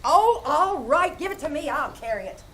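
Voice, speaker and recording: A woman playing a high, scatterbrained young wife. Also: animated, moderate pace, fairly bright tone. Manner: flustered, literal-minded chatter. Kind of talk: 1940s radio comedy acting, live on stage. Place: small theater stage, live performance. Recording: live theater recording with background noise.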